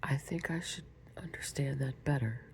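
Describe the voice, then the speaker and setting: A woman's voice: a bass voice, normal speed, a little mellow, a little hiss or hum. Woman whispering as a polite young girl.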